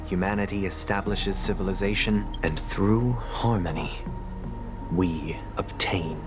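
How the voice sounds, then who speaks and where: A man playing a solemn, courteous young zealot leader in a video game, speaking formally with a preaching, gently condescending manner. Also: low-pitched, steady tone, unhurried. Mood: solemn conviction. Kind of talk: scripted video game dialogue. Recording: background music under the voice.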